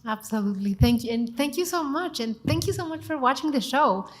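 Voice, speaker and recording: A woman's voice: high-pitched, fairly quick. Enthusiastic Mexican-accented woman. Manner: expressive, enthusiastic, emphatic. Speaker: TV cooking show host, guest. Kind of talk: panel conversation.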